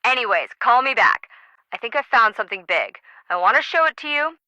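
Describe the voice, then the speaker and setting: Brash female treasure hunter for a video game: bright forceful voice, sardonic and flippant, very dry close sound. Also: a little high, big pitch swings, brisk, no room sound, exaggerated voice, low-quality recording. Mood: sarcastic. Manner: wry, breezy, slangy teasing.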